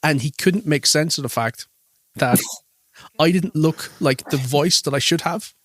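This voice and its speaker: A man's voice: a little high, unhurried, fairly bright tone, dry recording. A man with a Cork Irish accent, co-hosting a film commentary podcast and telling chatty anecdotes. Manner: chatty anecdotal storytelling, laughs often. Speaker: podcast co-host. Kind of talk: casual film commentary chat.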